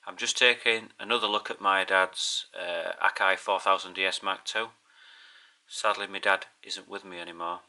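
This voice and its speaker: A middle-aged man from behind his camera talks through a hobby video in a Yorkshire accent. His voice is low and even, with little melodic movement and a very bright, hard edge. The delivery is casual, plain-spoken and a little hesitant. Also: normal speed. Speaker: video host. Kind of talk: casual hobby vlog narration. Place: indoors in a home living room.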